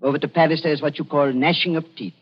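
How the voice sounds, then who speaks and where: An actor playing a tired, sly older doctor who speaks broken English with a breathy voice, in 1940s radio drama style. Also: male voice, on the high side, steady tone, rapid-fire. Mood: weary. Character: weary older male German doctor, sly. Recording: dull old radio broadcast.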